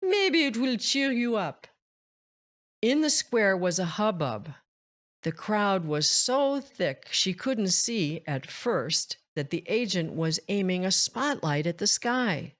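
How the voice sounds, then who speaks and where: Casting: woman narrator for children's picture books, slightly low voice, very measured delivery, expressive, over music. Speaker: storytime host, children's book reader. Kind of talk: expressive scripted read-aloud narration for children.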